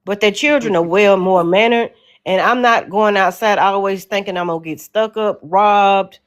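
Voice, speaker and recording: A woman's voice: medium pitch, normal speed. A woman in her forties with a Southern American accent, earnestly rambling about her views as a guest on a call-in show. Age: 40s. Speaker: caller guest. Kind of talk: casual call-in chat.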